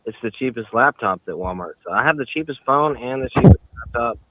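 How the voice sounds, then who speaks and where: A male caller rambling apologetically, his pitch swinging lively up and down. He comes through a tinny, thin phone line from a cheap handset. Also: a little fast.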